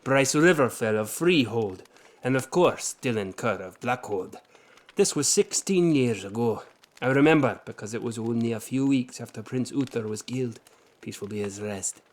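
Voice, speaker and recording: An actor playing a seasoned, weary general who recounts memories in a measured, solemn way in a fantasy audio drama. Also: male voice, medium pitch, animated, natural voice. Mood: solemn reminiscing. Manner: measured, plain-spoken, solemn.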